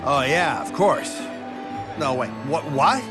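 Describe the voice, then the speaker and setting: Blunt male crewmate, fairly high and animated, casual game dialogue over music. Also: slow. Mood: bewildered. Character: blunt, bewildered male crew member. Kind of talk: English game dub cutscene.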